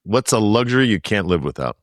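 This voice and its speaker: A middle-aged man co-hosting a podcast, asking brisk interview questions. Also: fairly low pitch, big pitch swings, no room sound, studio quality. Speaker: podcast co-host. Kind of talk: interview, lightning-round questions.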